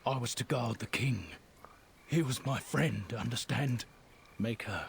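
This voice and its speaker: An actor playing a guilt-ridden dying soldier, once a king's guard, speaking gravely and haltingly in a fantasy video game. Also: medium pitch, a little hiss or hum. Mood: grieving, bitter.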